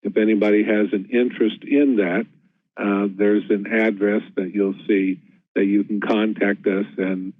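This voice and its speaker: A man speaks in a steady, measured way, with many "uh" fillers. His voice is low and quite monotone. The audio is dry and tinny, like it came through a telephone. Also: no room sound. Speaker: health management CEO. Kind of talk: conference presentation. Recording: tinny phone-line audio.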